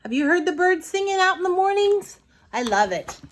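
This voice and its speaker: A woman reading a story aloud to young children in an expressive, dramatic storybook manner, with asides to her listeners. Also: very high-pitched, moderate pace, slight background noise.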